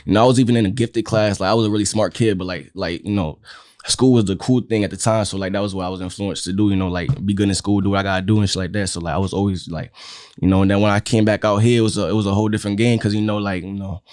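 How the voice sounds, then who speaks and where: Fast-talking young rapper, stammering. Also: male voice, on the low side, steady tone, darkish tone, no room sound, clean studio sound. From Connecticut. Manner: stammering, fast, lots of "you feel me". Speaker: guest rapper. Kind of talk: casual interview chat.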